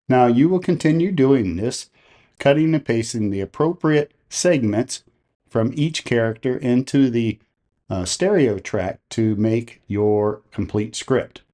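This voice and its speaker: A man presenting a software tutorial, explaining each step in a methodical, plain-spoken way. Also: mid-range voice.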